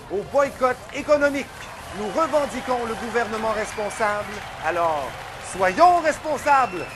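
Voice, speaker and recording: A man voicing a fervent but reasonable nineteenth-century political orator in an animated film, declaiming. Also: very high-pitched. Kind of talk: animated historical film speech.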